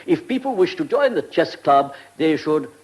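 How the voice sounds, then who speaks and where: A man's voice: on the high side, very animated, moderate pace, a little mellow, slightly rough. A man playing a pompous, pedantic British government minister in a radio comedy drama, fussy and self-important. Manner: pompous, pedantic, hesitant asides.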